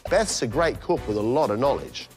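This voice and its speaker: A man's voice: mid-range voice, big pitch swings, brisk. A man presenting and judging a cooking competition in an upbeat, announcing manner. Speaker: judge. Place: TV studio kitchen. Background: background music.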